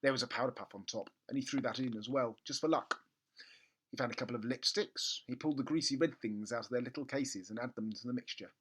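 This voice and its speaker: A man narrating a children's book expressively, with theatrical emphasis and comic pauses. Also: medium pitch, fairly bright tone, breathy edge. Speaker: storybook reader.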